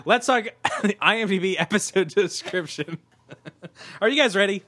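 A man's voice: high-pitched, moderate pace, pushed and bright, a little hiss or hum. A man hosting a podcast, chatting casually, reading a description aloud with laughing asides. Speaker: podcast host. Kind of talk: casual chat.

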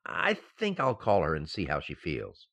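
An actor playing a flustered, easily shocked husband and father-to-be in a radio sitcom, excitable and dithering. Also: very deep voice, normal speed, vocal fry, a little hiss or hum, exaggerated voice. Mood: fretful. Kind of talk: old-time radio sitcom acting.

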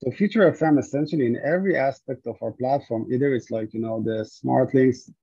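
A man's voice: medium pitch, fairly quick, warm tone, slightly rough, no echo. A man from Israel being interviewed about his startup, answering at length with frequent fillers and restarts. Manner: hesitant, many fillers and restarts. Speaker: guest, startup founder. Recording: dull lo-fi sound.

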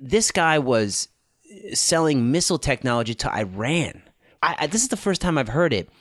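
A man's voice: medium pitch, big pitch swings, normal speed, a bit bright, no echo, studio quality. Blunt, joking male podcast host. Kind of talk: casual profane interview chat.

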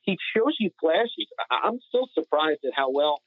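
A man's voice: a little high, big pitch swings, normal speed, dry recording. A man talking casually about sports as a podcast co-host, over a phone-like connection.

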